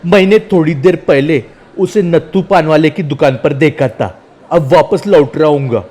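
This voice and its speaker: A man's voice: fairly high pitch, normal speed, mellow, close to an everyday voice. A man voicing a mischievous, taunting gang ringleader with a brash, teasing manner. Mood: scheming.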